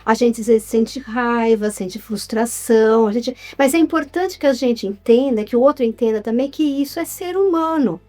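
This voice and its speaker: A woman with a Brazilian Portuguese accent, a guest chatting in a relaxed, animated way in an interview. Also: a little high, moderate pace, few pauses. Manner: animated, chatty, frequent tag questions. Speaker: guest, writer and actress.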